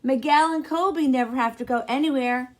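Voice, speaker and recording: A woman's voice: sits high, fairly quick, pitched above the performer's own voice, natural voice. A woman voicing a curious, complaining young boy in a children's storybook read-aloud. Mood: plaintive, curious.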